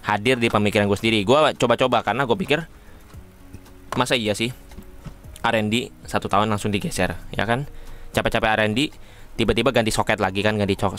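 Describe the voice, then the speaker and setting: Low-voiced man, casual reviewer. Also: fairly bright tone. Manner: informal, addresses viewers as friends. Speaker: motovlogger reviewing gear. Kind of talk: casual unboxing and review chat.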